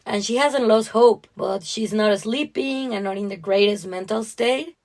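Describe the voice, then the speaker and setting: A woman's voice: mid-range voice, unhurried, a little mellow. A woman co-hosting a writing podcast, discussing a story conversationally in an appreciative, explanatory way.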